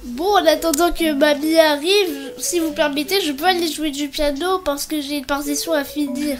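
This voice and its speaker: A woman's voice: high-pitched, steady tone, moderate pace, soft background music, lightly acted. A woman voicing a curious, chatty young girl with an eager, questioning manner in a children's cartoon.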